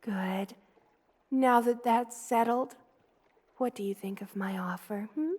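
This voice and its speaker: A woman voicing a gentle, motherly spider queen in an ASMR roleplay, tender, cooing and soothing as she dotes on the listener. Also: fairly high pitch, takes it slowly. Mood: gently reassuring. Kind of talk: ASMR roleplay, personal attention.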